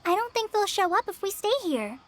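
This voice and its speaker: A woman's voice: very high-pitched, big pitch swings, brisk. A woman voicing a shy, anxious young forest ranger in a video game, girlish, hesitant and easily flustered. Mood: earnest. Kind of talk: video game character acting. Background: background music.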